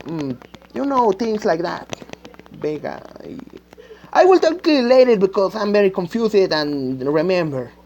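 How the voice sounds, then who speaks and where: A woman who is a native Spanish speaker, talking off the cuff with a Spanish-speaking accent, haltingly searching for words and repeating phrases. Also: medium pitch, very animated, darkish tone, slight background noise. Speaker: amateur game creator. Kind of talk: unscripted rambling vlog talk. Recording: dull lo-fi microphone sound.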